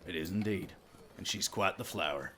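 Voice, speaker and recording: A man's voice: sits low, normal speed, pushed and bright, a little hiss or hum, voice pushed down. A man voicing a folksy orc tribesman who herds rhinos, grumbling but hospitable, with rustic turns of phrase, in a tabletop game. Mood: fond.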